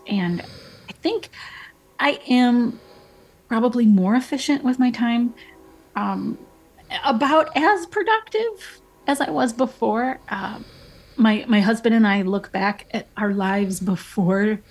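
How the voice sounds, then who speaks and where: Chatty, slightly husky woman painter. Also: on the high side, measured pace, a little mellow, poor audio. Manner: chatty storytelling with many fillers and restarts. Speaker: painter guest. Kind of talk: casual interview chat.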